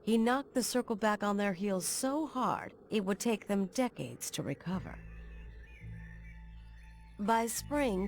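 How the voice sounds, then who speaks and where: A frontier storyteller narrating a family saga in a game. Her mid-pitched voice is measured and reflective, with music clearly behind it. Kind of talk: scripted narration for game cutscenes.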